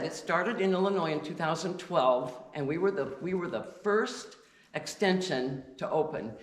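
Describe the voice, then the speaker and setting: A woman giving an informal talk to a church congregation, deliberately loud and chatty, with many restarts. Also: low-pitched. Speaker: guest speaker. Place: church sanctuary. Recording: echoey church with faint noise.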